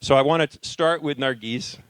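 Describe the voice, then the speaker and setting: A man's voice: on the high side, normal speed, fairly bright tone, clean tone, dry recording. A man moderating a conference panel, talking loosely and conversationally with frequent fillers and restarts. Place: conference hall.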